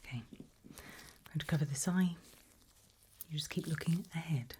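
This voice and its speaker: A woman softly whispering a medical exam roleplay in ASMR style, giving gentle, deliberate instructions with pauses. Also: low voice, slight background noise. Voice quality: soft breathy whisper. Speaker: ASMR performer playing an examiner.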